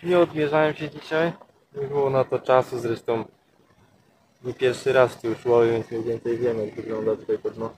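A man's voice: medium pitch, steady tone, moderate pace, darkish tone, low-quality recording. A young man casually talking through his fishing session outdoors by a canal, explaining his gear step by step. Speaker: angler vlogger. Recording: outdoor noise, nature sounds behind.

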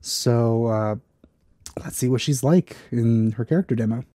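A man in his thirties hosts a casual reaction video. His voice is mid-pitched and mellow, and he talks in a hesitant, rambling way. The sound is dry and close, from a headset mic. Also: unhurried, no echo. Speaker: YouTube reaction host. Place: indoor room, close to the mic.

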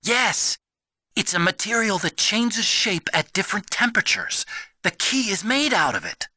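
Eager, bright-voiced young tech adviser. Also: male voice, sits high, animated, moderate pace, no echo, studio quality, full character voice. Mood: earnest, urgent. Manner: earnest, explanatory. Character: eager young male technical adviser over radio. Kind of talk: expository video game voice acting.

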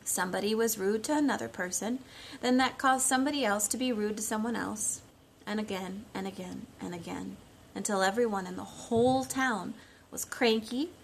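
A woman in her thirties reading and talking to young children in simple, expressive storytime phrasing, recorded on a phone at home. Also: medium pitch, moderate pace. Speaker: children's storytime reader and teacher. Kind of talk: storytime read-aloud and talk to young children. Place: living room at home, indoors. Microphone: phone at about arm's length. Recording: noisy homemade phone recording.

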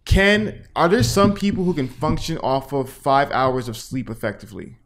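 An American man in his thirties chatting casually as a podcast co-host, circling haltingly toward his point. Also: medium pitch, big pitch swings. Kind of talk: casual chat. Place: small indoor room at a table. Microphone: podcast microphone on boom arm.

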